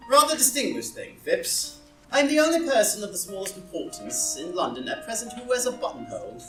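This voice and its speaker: A woman's voice: medium pitch, sing-song, a little fast, lightly acted. A woman playing a foppish, idle, witty young lord in a comedy play reading, languid and arch. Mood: airy self-regard. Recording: roomy live reading, distant mic, music.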